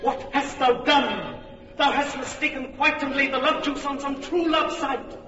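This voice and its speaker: An actor playing a stately, scheming fairy king in a classic radio drama, speaking verse in a measured way with sharp bursts of command. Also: very high voice, fairly even intonation, highs cut off, music in the background. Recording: roomy reverberant sound with room noise, from a distance.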